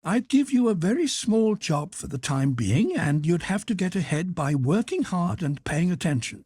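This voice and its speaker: A man's voice: high-pitched, very animated, normal speed, darkish tone, slightly rough, dry recording, voice pushed up, full character voice. A man doing an effusive fifty-year-old head cook. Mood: coaxing. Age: 50s. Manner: effusive, pressing, motherly.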